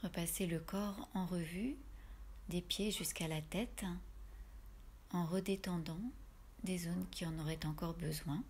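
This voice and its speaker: A woman leading a guided yoga relaxation in a soft, breathy voice, giving soothing, unhurried instructions with pauses. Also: fairly low pitch, steady tone, a little hiss or hum.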